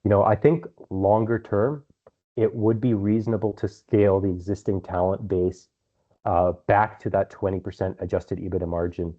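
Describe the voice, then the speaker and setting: A man speaking as a company executive, answering investor questions on an earnings call in measured phrases with some repeated words. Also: low voice, fairly even intonation. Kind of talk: earnings call answers, semi-prepared business talk. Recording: dull, band-limited conference call audio.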